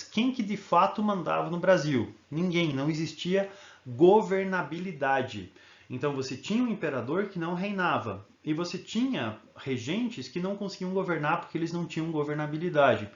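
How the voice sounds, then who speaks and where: A man's voice: a little high, expressive, moderate pace, reverberant, highs cut off. A male history teacher giving a recorded video lesson, explaining in an informal way and often checking in with tag questions.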